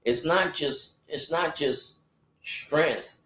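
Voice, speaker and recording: A man's voice: a little high, extremely slow, fairly bright tone, reverberant, slight background noise. A man in his forties in a casual podcast chat, rambling with many restarts and fillers. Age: 40s. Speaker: podcast co-host, rapper.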